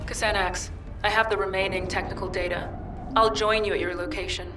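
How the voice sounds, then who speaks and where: A woman's voice: medium pitch, fairly even intonation, a little fast, over music, close to an everyday voice. An actress playing a hardened, vengeful woman soldier in a video game, speaking in a firm, businesslike, determined way.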